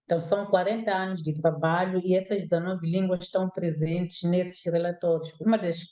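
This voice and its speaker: A woman linguist, giving measured, explanatory interview answers with some hesitations. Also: fairly low pitch, fairly even intonation, dark tone, muffled recording. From Mozambique.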